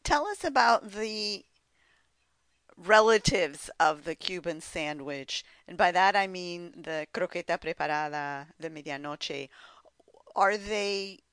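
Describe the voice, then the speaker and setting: A woman's voice: on the low side, a bit bright, no echo. A woman hosting a radio interview, asking curious, prompting questions. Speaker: radio host.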